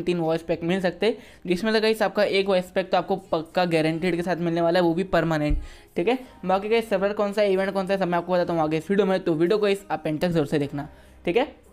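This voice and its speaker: A man's voice: sits high, a little mellow, smooth. A young man hosting a gaming video, explaining things casually and directly to viewers with repeated verbal tags. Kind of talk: casual explainer narration for a mobile game. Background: background music.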